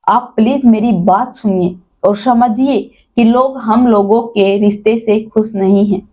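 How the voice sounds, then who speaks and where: Woman acting a mellow, pleading, deceitful wife. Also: on the high side, fairly even intonation, unhurried. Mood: placating, insistent. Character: deceitful young wife playing innocent and loving.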